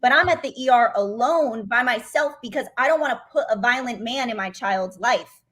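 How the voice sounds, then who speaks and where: A woman's voice: fairly high pitch, animated, moderate pace, a bit bright, a little hiss or hum. A pregnant woman guest on a podcast, talking earnestly and emphatically about her own situation, with many restarts. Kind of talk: candid personal account on a call-in podcast.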